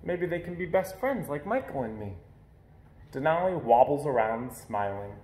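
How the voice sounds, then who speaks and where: Higher-voiced man reading aloud, roomy echo. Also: big pitch swings, moderate pace, darkish tone. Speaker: picture book reader. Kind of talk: expressive children's read-aloud narration.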